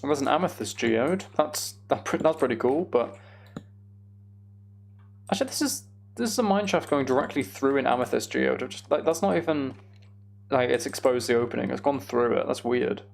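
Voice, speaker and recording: British man with a creaky voice. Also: mid-range voice, animated, moderate pace. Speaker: game streamer. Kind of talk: casual Minecraft playthrough commentary, chatting with viewers.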